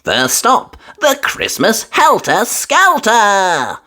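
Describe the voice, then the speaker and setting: A woman's voice: mid-range voice, huge pitch swings, very slow, pushed and bright, pitched above the performer's own voice, exaggerated voice. A woman voicing a cheerful rabbit calling out to passengers. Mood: announcing. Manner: calling out announcements. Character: brisk female rabbit train guide, cheerful.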